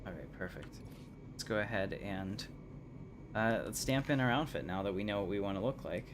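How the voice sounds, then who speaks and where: A man's voice: on the low side, expressive, moderate pace. A man giving casual live commentary while he plays a video game on stream. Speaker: game livestreamer. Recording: noisy game audio behind voice.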